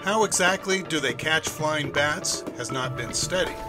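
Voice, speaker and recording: A man's voice: a little high, a little slow. A man narrating a nature documentary in an informative, lightly wry way. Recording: narration over loud music. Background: loud music.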